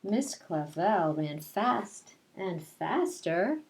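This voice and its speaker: Slow-paced woman reading to young children. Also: fairly low pitch, lively intonation, a little mellow. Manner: simple, explanatory talk for young children. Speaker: kindergarten teacher reading story time. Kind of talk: picture-book read-aloud with casual asides to children.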